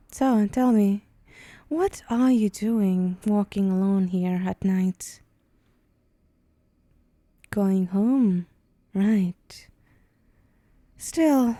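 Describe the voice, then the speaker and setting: A woman voicing a haughty, teasing and menacing demon in an ASMR roleplay, condescending and deliberate, with breathy whispered moments. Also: mid-range voice, mellow, dry recording.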